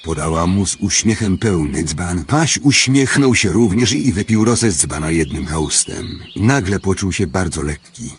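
A man playing a tired master storyteller in a storybook radio play, speaking in a measured fairytale manner. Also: low-pitched, expressive, slightly rough, exaggerated voice. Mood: storytelling. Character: tired male hour-spirit storyteller, master of a castle chamber.

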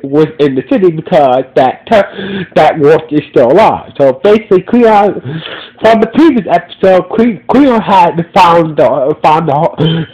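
A man's voice: on the high side, darkish tone, lo-fi sound. Stuffy-nosed man rambling through a recap. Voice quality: nasal. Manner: rambling, many restarts and "so basically". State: stuffy nose. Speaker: reviewer and podcast host. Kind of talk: casual spoken episode recap.

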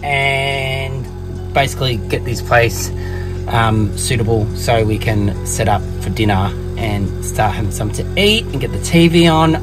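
A man in his thirties with an Australian accent, chatting casually as he films himself in a camper van with a phone held at arm's length. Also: mid-range voice. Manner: chatty, upbeat, with soft asides to his dog. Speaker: vlogger. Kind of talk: casual vlog narration. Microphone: handheld phone at arm's length.

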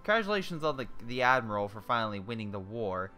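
A man's voice: mid-range voice, sing-song, fast, over loud music. A man in casual multiplayer gaming chat, joking and rambling as he thinks aloud through menus. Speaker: gamer playing a strategy game with friends.

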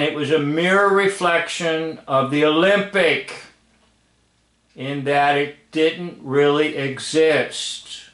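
A man's voice: fairly high pitch, a bit bright. A man giving off-the-cuff commentary over film footage, emphatic, repeating phrases and checking in with the listener. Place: indoor room, filming a screen. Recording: roomy, echoing home recording.

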